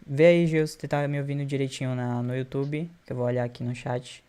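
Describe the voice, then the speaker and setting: A man's voice: medium pitch, fairly even intonation, fairly quick, mellow, smooth. A man with a Brazilian accent hosting a live stream on a video call in an informal, chatty way. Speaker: host.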